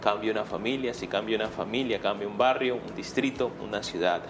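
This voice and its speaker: Lowish-voiced Peruvian man over clear noise. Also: a little fast. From Peru. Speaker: guest, political candidate. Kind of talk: conversational interview answers.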